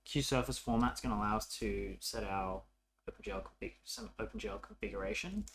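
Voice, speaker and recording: A man's voice: mid-range voice, very animated, fairly quick, vocal fry. A man narrating a casual coding tutorial as an instructor, informal and thinking aloud as he works.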